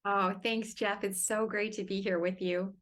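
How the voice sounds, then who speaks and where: A woman in her fifties from Connecticut, a wealth consultant talking about herself as a podcast guest, chatty and quick to laugh. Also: mid-range voice, steady tone, a bit bright, slight background noise. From Connecticut, New England. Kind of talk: casual interview chat.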